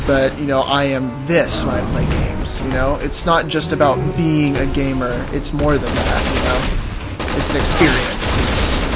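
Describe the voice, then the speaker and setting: A man co-hosting a gaming podcast, talking casually and haltingly while he plays. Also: mid-range voice. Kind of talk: casual commentary while playing a video game. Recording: game music and vehicle noise behind. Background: loud video game soundtrack.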